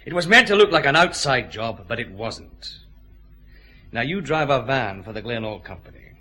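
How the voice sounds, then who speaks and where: An actor playing a middle-aged Scottish chief inspector in a radio detective drama, brisk, pressing and confident, with dry humour. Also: male voice, mid-range voice, animated, sharp tone. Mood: forceful, confronting.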